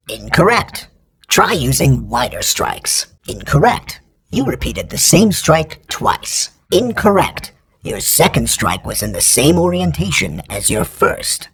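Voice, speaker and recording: Gravelly, raspy robotic training droid. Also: male voice, a little high, fairly bright tone, heavily acted. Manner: terse, formal, imperative, emotionless correction. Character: precise, stern male training droid instructor. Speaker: combat training instructor. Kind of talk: clipped scripted game tutorial instructions.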